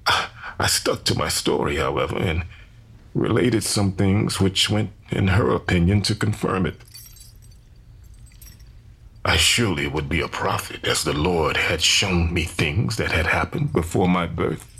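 A man's voice: low voice, a little slow, a bit bright, exaggerated voice. A man playing a solemn enslaved preacher in his thirties, convinced of his divine calling, giving grave, formal testimony in a historical dramatization. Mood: solemn, resolute. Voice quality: gravelly, husky.

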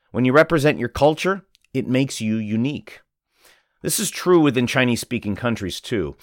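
A man narrating a magazine article aloud as a reader, in an even, measured scripted narration. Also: medium pitch, dry recording, studio quality.

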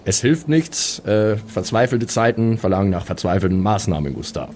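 A man plays a composed male cleric in a fantasy role-play. His voice is lowish and mellow, his wording formal and measured, a little dry. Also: sing-song, slight background noise. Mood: resolute, dry. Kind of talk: tabletop role-play acting.

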